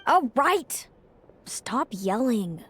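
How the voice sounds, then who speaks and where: A woman's voice: a little high, very animated, drawn-out words, fairly bright tone, faint music behind, heavily acted. A woman playing a guarded, aloof young woman in an anime video game, curt and reluctant in manner. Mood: guarded, warning.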